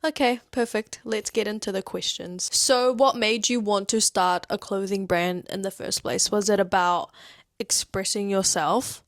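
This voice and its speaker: A woman's voice: medium pitch, fairly even intonation, a little slow, dry recording, studio quality. A woman in her twenties with a New Zealand accent, hosting a podcast in an upbeat, chatty way. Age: 20s. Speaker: podcast host. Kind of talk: casual chat.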